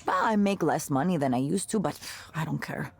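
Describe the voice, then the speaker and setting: A woman's voice: deep voice, expressive, brisk, vocal fry, husky, no echo, exaggerated voice. A woman voicing an upbeat, earnest musician in a visual novel, speaking thoughtfully and conversationally. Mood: contented. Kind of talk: natural visual novel voice acting.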